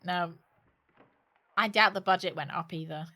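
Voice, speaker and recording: A woman's voice: lowish voice, normal speed, pushed and bright. A woman giving casual live commentary as she plays a game, with jokey, reactive asides. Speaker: livestreamer playing a horror adventure game.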